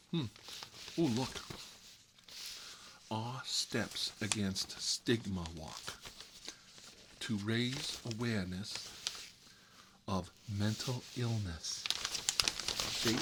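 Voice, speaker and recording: A man acting out a hapless American homebody who lives with his mom, in a fairly low voice. He rambles along with folksy opinions in an improvised comic roleplay. The recording has clear background noise. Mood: rambling, earnest. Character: Marvin, chatty hapless man living with his mother.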